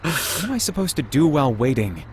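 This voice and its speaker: Visual novel role, male actor: sulky, worried young android, very expressive pitch, some street noise behind. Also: a little fast, natural voice.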